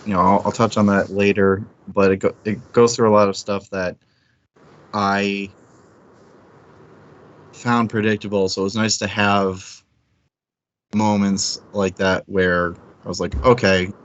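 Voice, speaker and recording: Low-voiced man rambling casually, slightly muffled. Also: level tone, measured pace, pauses often. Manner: rambling, informal, thinks aloud. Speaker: co-host of a book club livestream. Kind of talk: casual book discussion chat.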